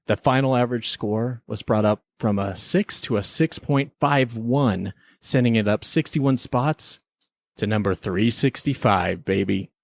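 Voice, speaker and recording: A man with a slightly lower-pitched voice hosts a podcast on a close, dry mic. He speaks with teasing mock formality, as if running a playful tribunal. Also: measured pace, vocal fry, no room sound. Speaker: podcast host. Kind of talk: semi-scripted mock-tribunal podcast banter.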